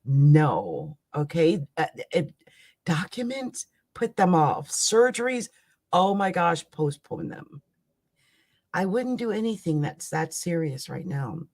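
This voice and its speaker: Woman, raspy voice, live astrology host. Loose, conversational delivery with emphatic asides. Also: on the low side, big pitch swings, slow pace, darkish tone. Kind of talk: casual live astrology chat.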